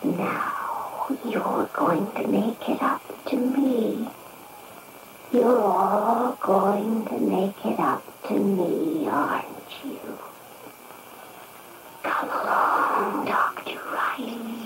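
An actress playing a vengeful, controlling woman in radio horror, speaking with quiet, often whispered menace. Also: mid-range voice, very slow. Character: menacing, vengeful female, eerily demanding and controlling. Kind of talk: radio horror drama acting. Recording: noisy AM radio cassette, echoey.

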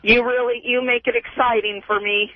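A woman's voice: a little high, flat delivery, moderate pace, no room sound. A woman calling in to a radio show, chatting casually and flirtatiously over the phone. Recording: tinny phone line on radio.

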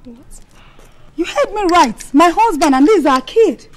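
An actress playing an indignant, assertive wife in a film melodrama. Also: female voice, high-pitched, animated, normal speed, darkish tone, a little hiss or hum. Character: indignant wife defending her claim on husband.

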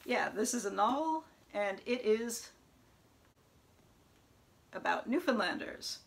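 A young woman chatting casually about new books at home, sometimes reading back-cover blurbs aloud in an even tone, recorded by a camera close in front of her. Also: a little high, slow, gravelly, slight background noise. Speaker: book vlogger. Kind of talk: casual book haul chat with read-aloud blurbs. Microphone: camera in front at close range.

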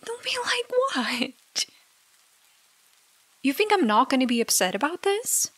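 A woman playing a jealous, hurt girlfriend in an ASMR roleplay argument, halting and exasperated, with sarcastic edges. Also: sits high, very animated, fairly bright tone, breathy edge, no echo, heavily acted. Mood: sarcastic, upset. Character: jealous, hurt young girlfriend arguing with partner. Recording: dry close mic, rain behind. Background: rain.

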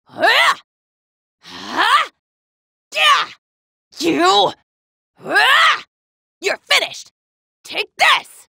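High gravelly teenage boy hero. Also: no room sound, clean studio sound, exaggerated voice. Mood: battle exertion. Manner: earnest, forceful delivery. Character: teenage boy martial-arts hero, polite, earnest, fierce in battle. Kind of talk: anime video game battle voice lines.

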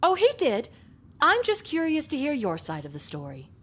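A woman's voice: high-pitched, huge pitch swings, normal speed, fairly bright tone, heavily acted. A woman playing a smug, skeptical female school principal in a visual novel, sardonic and condescending.

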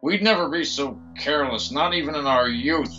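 A man's voice: a little high, normal speed, sharp tone, highs cut off, faint music behind. A man playing a folksy, wary adventurer in an audio drama. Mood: wary, indignant.

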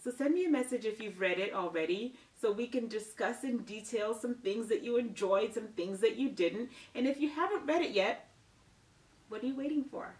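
A woman's voice: fairly high pitch, normal speed. A woman in her thirties giving a casual, emphatic book review from her living room couch, often checking in with "okay?". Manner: animated, emphatic, tags sentences with "okay?". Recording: roomy, distant mic.

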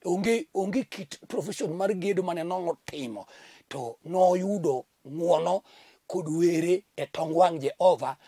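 A man's voice: sits high, a little slow, warm tone, breathy edge, no echo. A middle-aged man from Kenya preaching a fervent TV sermon at a studio desk, with a recurring thanks-to-God refrain. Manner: fervent preaching with sweeping hand gestures. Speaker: pastor. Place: indoor TV studio set at a desk.

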